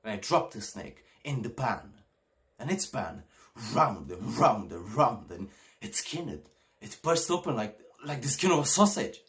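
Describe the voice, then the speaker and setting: A man performing a theatrical monologue as a disturbed, childlike young man, halting and swinging between hushed and excited. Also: mid-range voice. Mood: gleeful, morbid.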